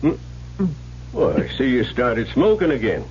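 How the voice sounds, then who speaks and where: An actor playing a folksy, shrewd and confident country sheriff in an old-time radio drama. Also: male voice, medium pitch, very animated, a little slow, darkish tone. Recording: muffled, noisy old radio recording.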